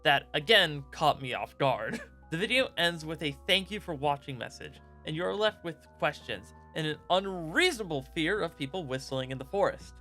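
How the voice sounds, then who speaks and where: Higher-pitched man narrating over music. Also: big pitch swings, normal speed, bright, forceful tone. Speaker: video essayist and host. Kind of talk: scripted narration.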